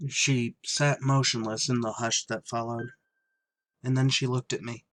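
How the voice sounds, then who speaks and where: An actor playing a somber, haunted shuttle pilot in an audio drama, grave and restrained. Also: male voice, medium pitch, little pitch movement, a little slow, a bit bright, slight background noise, close to an everyday voice. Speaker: lead actor and narrator.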